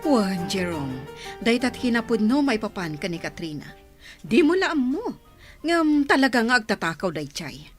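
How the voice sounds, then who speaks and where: A woman playing a spiteful, two-faced middle-aged mother in an audio drama, her voice husky. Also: on the high side, big pitch swings, moderate pace, over music. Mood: scornful. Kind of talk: melodramatic audio drama acting.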